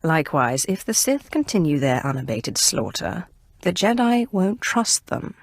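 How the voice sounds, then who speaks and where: Low-voiced, imperious Sith sorceress. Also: female voice, big pitch swings, no room sound, studio quality, full character voice. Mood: earnest, firm. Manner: poised, measured, imperious. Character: commanding, cool-headed female Sith sorceress, haughty yet idealistic. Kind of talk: scripted video game dialogue acting.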